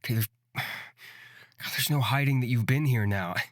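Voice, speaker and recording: A man's voice: mid-range voice, normal speed, dry recording, studio quality, natural voice. A man playing an aloof, irritable young man who scolds curtly in a fantasy visual novel. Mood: exasperated. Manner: curt, exasperated.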